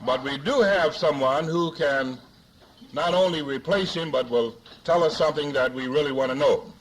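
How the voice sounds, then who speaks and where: A man hosting a forum, introducing a speaker in a formal but jocular way with deliberate, halting phrasing, on an archival 1970s recording. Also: a little high, a little hiss or hum, rough recording. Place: live luncheon forum before an audience.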